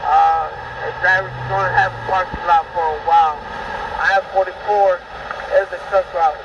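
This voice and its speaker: High voiced guy giving road directions on cb radio, distant, loud static. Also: fairly even intonation, moderate pace, highs cut off. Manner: instructive, listing exits and routes. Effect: CB radio transmission. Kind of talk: informal traffic directions over CB radio.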